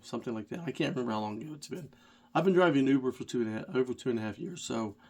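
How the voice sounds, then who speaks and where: Middle-aged rideshare driver, stuffy from a cold, casual rambling storyteller with a self-deprecating streak. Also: male voice, mid-range voice, a little mellow. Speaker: rideshare driver and channel host.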